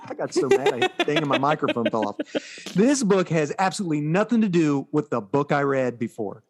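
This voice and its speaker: A man's voice: on the high side, wildly swooping pitch, brisk, slight background noise. A man co-hosting a podcast, recapping things in a casual, explanatory and informal way. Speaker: podcast co-host. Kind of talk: casual chat, recapping comic books.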